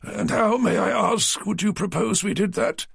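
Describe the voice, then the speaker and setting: A man's voice: high-pitched, moderate pace, vocal fry, a bit bright, slightly rough, dry recording, studio quality, pitched above the performer's own voice, heavily acted. A man voicing a fussy, flustered middle-aged male publisher who talks primly and defensively. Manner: prim and defensive.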